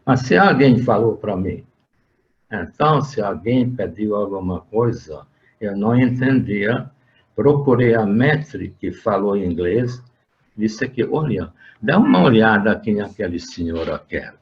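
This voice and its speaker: An older man with a Hungarian accent speaks very slowly and haltingly, filling pauses with "eh" and often starting over. His voice sits fairly low. The recording sounds dull and lo-fi. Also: lively intonation. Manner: slow and halting, with frequent "eh" fillers and restarts. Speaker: interviewee. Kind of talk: recounting his working life in an interview.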